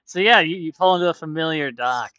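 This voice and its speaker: A man's voice: on the high side, fast, pushed and bright, smooth, dry recording, dull audio. A man narrating as game master of a tabletop game, laid-back and chatty with frequent laughs.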